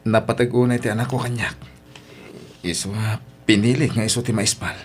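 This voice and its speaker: A man's voice: on the low side, fairly even intonation, fairly quick, soft background music, natural voice. A man with an Ilocano accent voicing James, a young husband who becomes a widowed father in a radio drama, his voice breaking with emotion. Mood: grieving.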